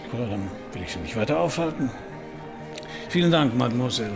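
An actor playing a wry, probing private detective who narrates and questions in a German radio crime drama, dry and laconic, with a gravelly voice. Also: male voice, mid-range voice, lively intonation, fairly quick, music in the background. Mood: polite, businesslike. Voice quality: gravelly, creaky.

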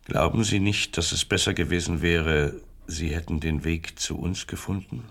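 State security interrogator, low-pitched, rough-edged, insistent, sardonic, coldly probing, radio drama. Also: male voice, fairly even intonation, normal speed, a bit bright, heavily acted. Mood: probing, accusing. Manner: pressing, leading questions, sardonic.

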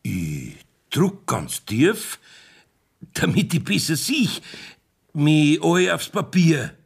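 A sly Bavarian country judge from a radio play, gravelly and husky. He talks slowly and swoops up and down, rambling and blustering in a folksy, evasive way. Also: on the high side, very animated, exaggerated voice. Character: sly, evasive, beer-loving village judge, middle-aged man. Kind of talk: dialect radio play acting. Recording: 1970s radio drama studio recording.

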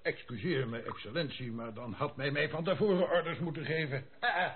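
Actor as jovial, high-voiced old army captain. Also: male voice, fairly quick, close to an everyday voice. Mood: cheeky. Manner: bantering, blustery, drops French phrases. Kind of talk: Dutch radio play acting. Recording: tinny phone-like old radio play recording.

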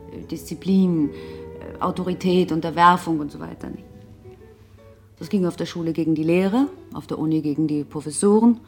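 A woman's voice: fairly low pitch, natural voice. An actress playing a self-assured, talkative woman with an ironic, pointed way of speaking in a naturalistic radio drama. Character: self-assured, sardonic, independent older girlfriend of a runaway man.

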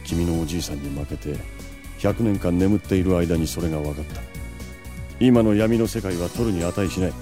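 A man's voice: sits low, normal speed, mellow, soft background music, natural voice. A man playing a suave, proud, composed vampire lord in an audio drama, self-assured and dramatic. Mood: composed, patient. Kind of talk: anime-style audio drama acting.

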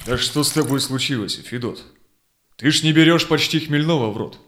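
An actor playing a brave, loyal Cossack hero, speaking earnest rhymed verse in an audio play. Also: male voice, fairly high pitch, brisk, fairly bright tone, a little hiss or hum, natural voice. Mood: modest, earnest. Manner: earnest, declamatory verse delivery.

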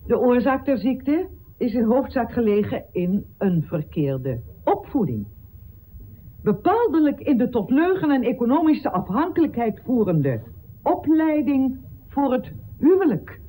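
A woman's voice: fairly high pitch, very animated, a little slow, dark tone, rough recording. An actress playing an earnest, principled woman physician in a radio drama, speaking with solemn, impassioned formality. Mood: earnest, declaiming. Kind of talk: declamatory radio drama oratory.

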